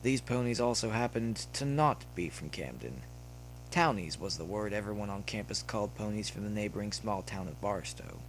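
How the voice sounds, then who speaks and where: Low-voiced man narrating fiction, humming background. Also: animated, normal speed, rough recording. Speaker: audiobook-style narrator of fan fiction. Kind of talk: scripted story narration, dramatic reading. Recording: noticeable background noise with hum.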